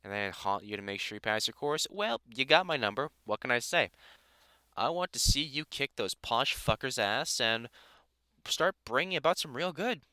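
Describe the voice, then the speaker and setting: A man playing a gruff older vampire hunter in a roleplay audio drama, a fond, teasing mentor with dry sarcasm who grows halting when sentimental. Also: medium pitch, lively intonation, sharp tone, no room sound. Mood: exasperated affection.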